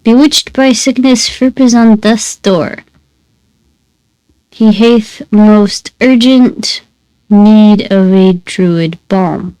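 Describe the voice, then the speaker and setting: A solemn game character speaks in stately, old-fashioned phrases, read aloud by a woman with a stuffy voice. The delivery is slow and mellow, with each line drawn out. The sound is close and dry. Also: mid-range voice, clean tone, dry recording, rough recording. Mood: grave. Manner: archaic, formal phrasing read out slowly.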